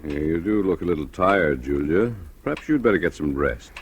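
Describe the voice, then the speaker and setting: A very low-voiced middle-aged man, an evasive guardian in a vintage radio thriller. His pitch swings widely as he hedges and patronizes under a genial surface. The recording is old and noisy. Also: lively intonation, a little fast. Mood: forced geniality. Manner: hedging, patronizing. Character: evasive older guardian, outwardly genial, insinuating husband. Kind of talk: vintage radio drama acting.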